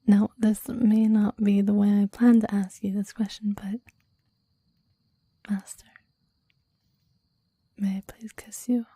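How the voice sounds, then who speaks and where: A woman's voice: medium pitch, flat delivery, takes it slowly, long pauses, mellow, slightly rough, no echo. A woman playing a clingy, devoted demon lover in an intimate ASMR roleplay, whispering breathily and reverently in formal, old-fashioned phrasing, close to the microphone. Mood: tender, eager. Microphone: close microphone, dry.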